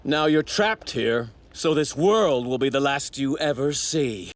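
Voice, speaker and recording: Guy doing a smug self-righteous anime god villain, super pompous n dramatic, pitch all over the place, noisy sfx behind. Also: expressive, measured pace, close to an everyday voice. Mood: righteous, scornful. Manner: pompous, grandiose, formal diction. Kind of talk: theatrical anime dub acting. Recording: noisy clip with overlapping sound effects. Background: anime sound effects.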